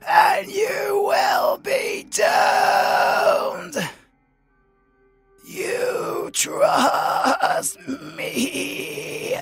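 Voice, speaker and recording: A man's voice: extremely high pitch, gravelly, heavily acted. A British man playing a tormented, starving undead husband in a horror audio roleplay, crying and pleading in anguish. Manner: anguished, melodramatic pleading in broken shouts.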